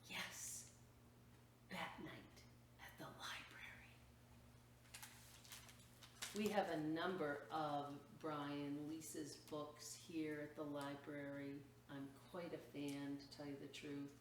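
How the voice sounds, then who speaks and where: A woman with a low voice hosts a children's story time. She reads at a slow, expressive pace and breaks off for casual explanations. The recording is very echoey, as if the microphone sits some way from her. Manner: expressive storytelling, drawn-out words, explanatory asides. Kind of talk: reading a picture book aloud to children, with chatty asides. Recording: echoey room, distant mic, faint noise.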